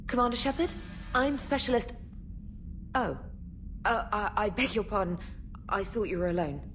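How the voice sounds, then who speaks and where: A woman's voice: medium pitch, expressive, normal speed, a bit bright, a little hiss or hum, close to an everyday voice, rough recording. An actress playing a polite, slightly nervous female military communications specialist in a video game. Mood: flustered, formal. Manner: polite, formal, slightly flustered. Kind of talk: video game character dialogue.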